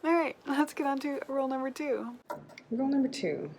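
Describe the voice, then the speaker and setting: Casual chatty woman over clear background noise. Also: high voice, normal speed, darkish tone. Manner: chatty, informal, thinking aloud. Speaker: book vlogger. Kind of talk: casual chat to camera while playing a reading-list game.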